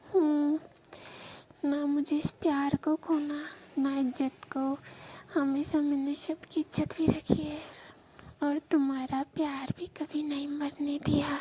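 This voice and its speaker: A woman playing a shy, devoted young married Rajasthani village woman, speaking in a hushed voice to her secret lover on the phone. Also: little pitch movement, takes it slowly. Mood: soft, earnest. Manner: hushed, speaking low so neighbours will not hear. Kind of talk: intimate romantic phone conversation, acted as a prank call recording. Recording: noisy phone call recording.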